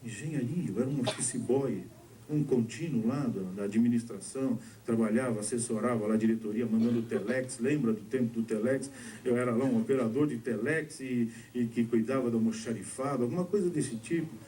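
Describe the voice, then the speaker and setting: Brazilian pastor telling a testimony from afar. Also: male voice, fairly quick, mellow, slight background noise. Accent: Brazilian Portuguese. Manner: storytelling, acting out dialogue with shifts of pitch. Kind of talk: sermon testimony to a congregation. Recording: distant mic with faint ambience.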